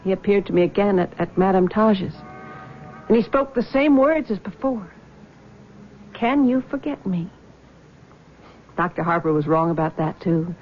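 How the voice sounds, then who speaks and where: An actress playing a troubled, grieving young woman in a vintage radio drama, speaking softly and hesitantly. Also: medium pitch, animated, normal speed, long pauses, lightly acted. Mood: resolved. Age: young adult. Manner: soft, hesitant. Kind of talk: 1970s radio drama acting. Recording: dull old radio broadcast with faint hiss.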